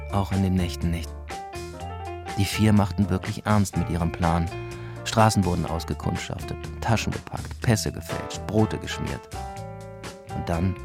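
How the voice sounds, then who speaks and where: An actor playing a laconic police officer who narrates his own story in a dry, wry way for a radio drama. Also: sits low. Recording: music behind the voice. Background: music.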